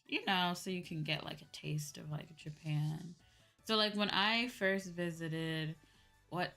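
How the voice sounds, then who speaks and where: A woman's voice: on the low side, fairly bright tone. A woman streamer chatting casually and giving advice in a conversational way, often repeating herself for emphasis. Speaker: streamer host. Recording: background music. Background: music.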